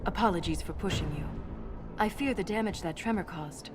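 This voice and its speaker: A woman acts out an earnest dwarven scholar in a fantasy game. Her voice sits on the low side, formal and measured, every detail precise. Music plays clearly behind her. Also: natural voice. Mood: grave, concerned. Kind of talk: video game cutscene acting.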